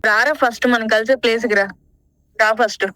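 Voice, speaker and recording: An indignant woman tells off her boyfriend over the phone. Her voice is high and forceful, the words come fast, and she is confrontational. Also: fairly even intonation, soft background music, rough recording. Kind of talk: scolding on a phone call.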